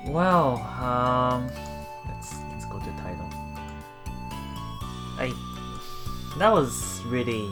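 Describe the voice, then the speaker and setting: Let's play narration, casual commentary, medium pitch, stumbling read-aloud, light background music. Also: very animated. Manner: halting, stumbles and repeats words. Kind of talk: reading game text aloud with casual commentary.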